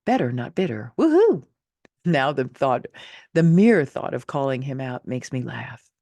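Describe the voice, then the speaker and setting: A woman's voice: rumbling low, sing-song, unhurried, dark tone, dry recording. A middle-aged woman reading her own personal essay in an emphatic, theatrical, motivational way. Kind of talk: expressive scripted narration of a personal essay.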